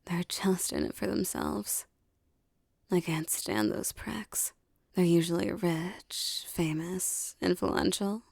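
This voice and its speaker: A woman performs a streetwise female mafia boss with a soft spot for her lover. Her voice is dark and mellow with a gravelly fry. She speaks in an intimate, teasing ASMR roleplay manner, recorded very dry. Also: fairly low pitch, slow, dry recording, full character voice. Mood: intimate, serious.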